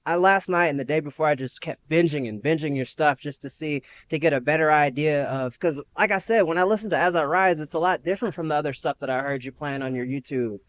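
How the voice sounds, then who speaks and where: A man's voice: on the high side, quick pace, few pauses, a bit bright, no echo. Enthusiastic young man hosting an interview. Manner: enthusiastic, affirming with frequent "heck yeah". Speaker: podcast host. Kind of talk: casual interview.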